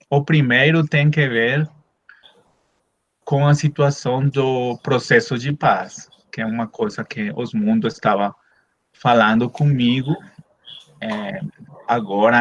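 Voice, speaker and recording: A man from Colombia, a Spanish native speaker, giving an academic talk in a second language over a video call, hesitant with many drawn-out fillers. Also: medium pitch, no echo. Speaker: guest speaker, anthropologist. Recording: dull lo-fi video call audio.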